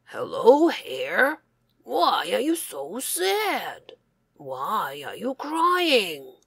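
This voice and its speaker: A woman voicing a big, bold storybook bear with slow, emphatic, drawn-out words. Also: mid-range voice, wildly swooping pitch, vocal fry, husky, no room sound, studio quality, full character voice. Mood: concerned.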